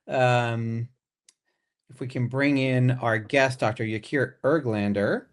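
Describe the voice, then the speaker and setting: A man's voice: mid-range voice, steady tone, slow. A man co-hosting a podcast in casual, joking chat, with many fillers. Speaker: podcast co-host.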